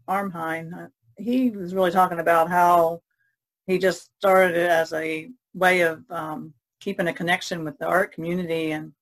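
A woman painter, a regular on an artists' podcast, speaking softly and hesitantly on a video call. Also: on the low side, low-quality recording. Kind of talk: casual video-call chat.

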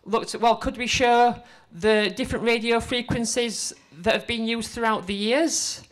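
A middle-aged woman giving an informal conference presentation into a handheld microphone, conversational, halting and self-deprecating. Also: on the high side, steady tone. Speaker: conference speaker, museum exhibit developer. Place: indoor presentation room before a seated audience.

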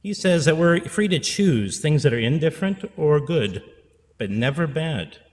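A man's voice: a little high, animated, moderate pace, darkish tone, long reverb tail. A man in his forties, a priest giving an earnest pastoral sermon at a pulpit microphone, repeating phrases for emphasis. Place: church sanctuary. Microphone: stand mic at pulpit.

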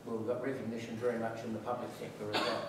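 A man asking a long, rambling question from the audience without a microphone, talking loudly over the speaker. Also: mid-range voice, completely flat delivery, very fast. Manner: loud, long-winded, talks over others. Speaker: audience member. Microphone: no microphone, room pickup. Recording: echoey room with noise.

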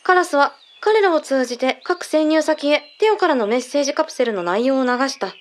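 Audio drama role: composed intelligence briefer played by a woman, high voice, blunt and matter-of-fact. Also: expressive. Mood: composed, serious. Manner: measured, matter-of-fact, blunt masculine phrasing. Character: calm intelligence colleague briefing secret findings.

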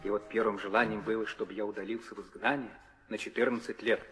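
An actor playing a dutiful, steadfast young prince in an old theatrical audio drama, speaking in solemn declamation. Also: male voice, fairly low pitch, flat delivery, quick pace, fairly bright tone, faint music behind, close to an everyday voice. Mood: solemn resolve. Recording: old radio play, hall reverb.

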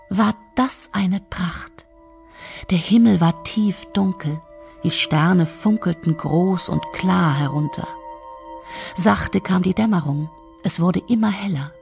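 A woman narrating a fairy tale in a measured, expressive way, lingering on descriptive words. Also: mid-range voice, vocal fry. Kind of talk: scripted storytelling narration.

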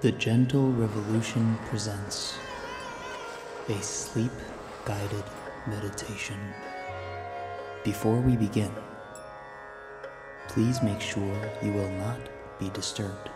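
Slow, low male meditation narrator with echo. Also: steady tone. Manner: slow, soothing, deliberate delivery. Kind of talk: scripted sleep meditation narration. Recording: echoey voice under loud music.

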